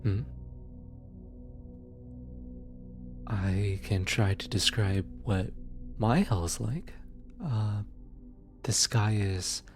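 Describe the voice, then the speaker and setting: Male actor as gentle demon soul collector, lowish voice, very slow pace, soft ASMR roleplay, hesitant and sympathetic. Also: music in the background. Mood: calm, reassuring. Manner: hesitant, halting, tender, casual. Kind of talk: ASMR audio roleplay, soft comforting delivery.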